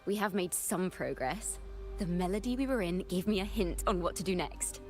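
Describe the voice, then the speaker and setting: A woman voicing a gentle, poetic female bard in a fantasy video game, soft and measured. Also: fairly low pitch, animated, natural voice. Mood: concerned, encouraging. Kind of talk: video game story voice acting. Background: game music.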